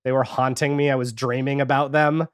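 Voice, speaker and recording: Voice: mid-range voice, little pitch movement, brisk, smooth, no echo, studio quality. A podcast guest chatting casually and candidly about their own experiences, with wry, self-deprecating asides. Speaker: podcast guest, audio fiction creator. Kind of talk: casual interview chat.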